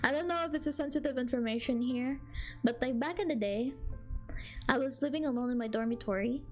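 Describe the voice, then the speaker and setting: High-voiced giggly Filipino streamer woman. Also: fairly quick, soft background music. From Philippines. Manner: giggly, rambling and playful, teasing chat. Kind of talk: casual live-stream chat with viewers.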